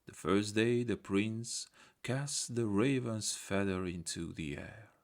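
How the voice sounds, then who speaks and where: A man reading a scripted folktale as an audiobook storyteller, in a measured, simple storybook delivery. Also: fairly low pitch.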